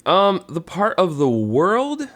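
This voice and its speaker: Lively-pitched man giving casual clues. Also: slow pace. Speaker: puzzle master on a podcast.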